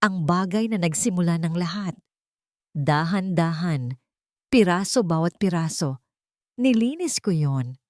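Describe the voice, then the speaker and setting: A woman narrating a scripted first-person drama in a measured, deliberate way. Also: fairly low pitch, lively intonation, a little mellow, no room sound, studio quality. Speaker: story narrator.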